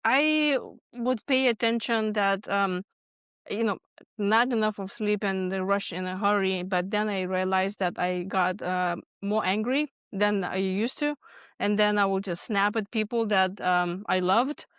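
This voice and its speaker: A woman from Lithuania with a Lithuanian accent, a guest speaking earnestly about stress and often pausing with ums and restarts. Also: mid-range voice, fairly even intonation, fairly bright tone, clear, resonant tone, no room sound, studio quality. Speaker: podcast guest, stress management expert. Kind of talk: conversational interview answers.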